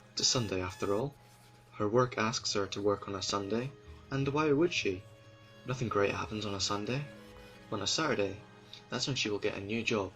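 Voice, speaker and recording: A man narrating a fan audio drama in a deliberate, ominous manner, sometimes dropping toward a whisper. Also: lowish voice, pushed and bright, rough recording. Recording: music playing behind.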